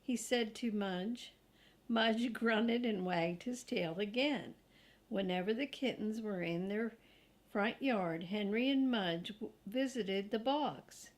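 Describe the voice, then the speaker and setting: An older woman reading a children's storybook aloud, deliberate and grandmotherly, with casual asides to young listeners. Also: medium pitch. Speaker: storybook reader.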